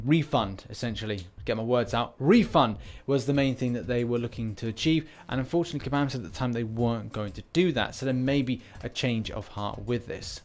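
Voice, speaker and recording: A man hosting a gaming news update, talking to viewers in a casual, chatty way with emphatic openers that trail off. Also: a little high. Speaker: host of a gaming news channel. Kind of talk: scripted-loose news commentary to viewers.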